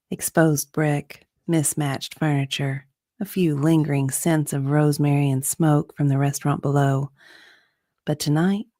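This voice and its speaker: A woman's voice: low-pitched, dark tone, no room sound, clean studio sound. A woman narrating a romance story in a sultry, dramatic style.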